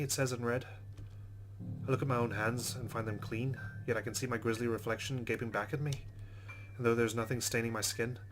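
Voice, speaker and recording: A man reading a visual novel's narration aloud as a let's-play host, with casual commentary. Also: mid-range voice.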